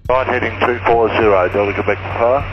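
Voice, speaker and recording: A man's voice: on the low side, fairly even intonation. A man speaking as a pilot over aviation radio, giving terse, hesitant readbacks. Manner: hesitant, halting replies. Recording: dull cockpit radio, music behind.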